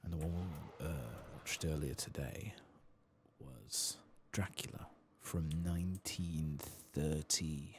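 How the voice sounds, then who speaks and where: A man giving soft-spoken ASMR commentary over a video game, with understated asides to himself, close to the microphone. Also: a bass voice, takes it slowly, a little hiss or hum.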